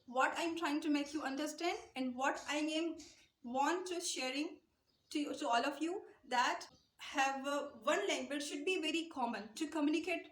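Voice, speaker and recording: A woman's voice: sits high, moderate pace, a bit bright, reverberant. A young Pakistani woman with a Pakistani accent gives an earnest English-speaking lesson straight to camera, repeating her points and gesturing with her hands. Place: small indoor room, light wall behind.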